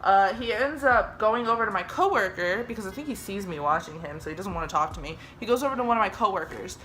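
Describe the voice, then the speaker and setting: A woman's voice: medium pitch, fast talker, slight background noise, poor audio. A young woman from Germany telling a story in a casual, chatty way, swearing often. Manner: chatty, swears often, lively re-enacted quotes.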